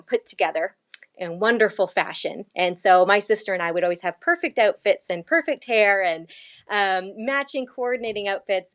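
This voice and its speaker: A woman from Canada, a missionary guest, telling her story in an earnest, lively way and laughing often. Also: medium pitch, highs cut off. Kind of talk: conversational faith interview.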